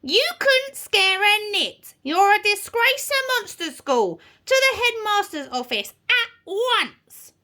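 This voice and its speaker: High scornful witch teacher, cackly and bossy, storybook voice, swoops all over. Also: huge pitch swings, slow, sharp tone, exaggerated voice. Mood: scornful, bawling. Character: shrill, sneering female witch schoolteacher, bossy and mocking. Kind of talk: children's storybook character voice.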